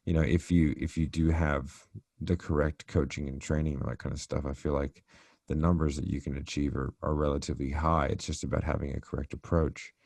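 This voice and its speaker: A man's voice: very deep voice, little pitch movement, fairly quick, gravelly, a little mellow, dry recording. A man on a recorded sales coaching call, talking conversationally and asking probing questions. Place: recorded call, roomy sound.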